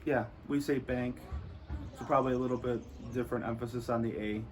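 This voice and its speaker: A man's voice: medium pitch, steady tone, brisk, crowd noise. Easygoing man with a Midwest American accent. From Chicago, US Midwest. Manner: easygoing, chatty, joking. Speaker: student. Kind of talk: casual chat.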